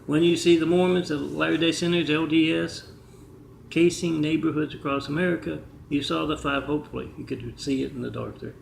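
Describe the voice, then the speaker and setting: A man's voice: on the high side, steady tone, fairly quick, gravelly, reverberant, slight background noise, poor audio. A man vlogging in a rambling monologue to the camera, restarting often, recorded on a camera mic inside an apartment. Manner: rambling, restarts often, reads out numbers and addresses. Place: indoor apartment with a kitchen.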